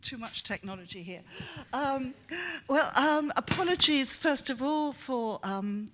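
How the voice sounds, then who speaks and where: An older woman whose first language is Welsh, giving a conference talk in English with many pauses, fillers and restarts. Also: high voice, lively intonation, fairly bright tone. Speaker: conference speaker.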